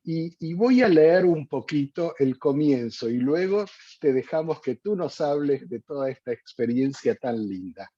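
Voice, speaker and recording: A man hosting a radio interview in a measured way with frequent fillers. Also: a little high, animated, warm tone, slightly rough, no echo. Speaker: host. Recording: dull dry lo-fi sound.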